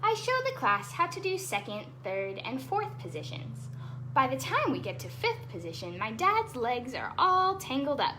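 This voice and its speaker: A woman's voice: high voice, wildly swooping pitch, moderate pace, sharp tone, a little hiss or hum. A woman hosting a children's storytime, reading aloud expressively with chatty asides and explaining words simply for young listeners.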